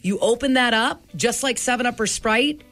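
A woman's voice: a little high, moderate pace, a bit bright, soft background music. A woman co-hosting a morning radio show, chatting casually and telling stories with animation.

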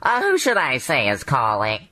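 Radio play role: officious switchboard operator, low, sharp voice, formal and clipped. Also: wildly swooping pitch, normal speed, dry recording, heavily acted. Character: brisk, formal 1950s telephone operator. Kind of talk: old-time radio drama acting.

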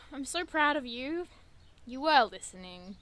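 An actress playing an earnest, excitable, bookish young woman sleuth in an audio drama, speaking precisely and muttering as she puzzles. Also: sits high, full character voice. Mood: excited.

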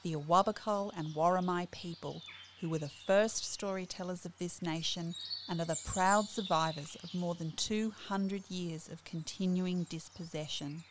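A woman giving a formal acknowledgement in a solemn, measured way. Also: lowish voice, fairly even intonation, background noise. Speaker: announcer.

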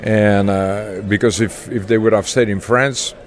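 An older man from Belgium with a Belgian accent, speaking haltingly with many fillers as an interview guest. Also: on the low side, steady tone. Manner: halting, many uhs, chuckles. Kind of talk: casual interview chat.

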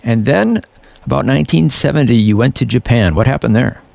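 Gravelly-voiced radio host. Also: male voice, mid-range voice, darkish tone, slight background noise. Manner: friendly, measured interviewer. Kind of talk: radio interview.

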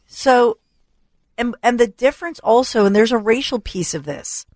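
A talk radio host, a woman, delivering an opinionated rant full of rhetorical questions and repetition, her voice going hoarse. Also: fairly high pitch, animated, moderate pace, a little mellow, dry recording, clean studio sound. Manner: emphatic, rhetorical questions, repeats for stress. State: losing her voice. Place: radio studio. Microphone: studio microphone.

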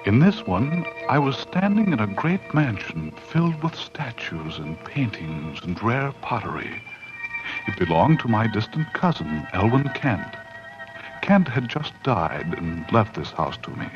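A man's voice: on the low side, very animated, measured pace. An actor in a 1940s radio horror drama playing a wary man who narrates and acts out his tale dramatically. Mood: brooding. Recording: muffled 1940s radio recording, music behind.

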